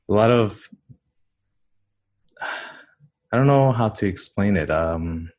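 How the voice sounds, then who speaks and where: A young man, a nurse answering interview questions, halting and searching for words. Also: sits low, a little mellow. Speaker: ICU nurse guest.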